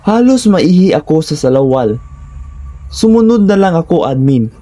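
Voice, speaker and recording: A man's voice: on the high side, big pitch swings, moderate pace, warm tone. A man with a Filipino accent giving a scripted first-person narration of horror stories.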